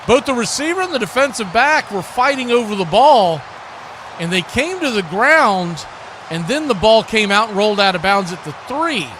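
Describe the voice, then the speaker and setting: A high-pitched male play-by-play commentator calls a live football game. He describes each snap and spot as it unfolds, over clearly noisy stream audio. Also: sing-song, moderate pace.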